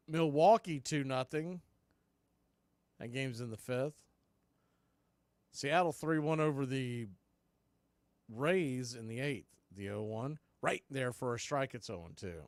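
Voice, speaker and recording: A man's voice: on the high side, no echo. A man calling a baseball game live as a play-by-play announcer.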